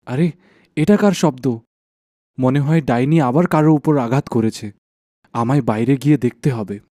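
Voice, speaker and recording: A man playing an anxious, caring village husband in a dramatised animated horror folk tale, with earnest delivery. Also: mid-range voice, normal speed, no room sound, studio quality, natural voice. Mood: alarmed.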